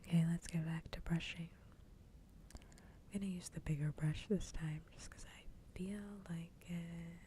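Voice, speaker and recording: A woman streamer whispering softly and breathily into a close microphone in a casual ASMR livestream chat. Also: steady tone, measured pace. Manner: soft whispering, breathy and unvoiced. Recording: low rumbling background noise.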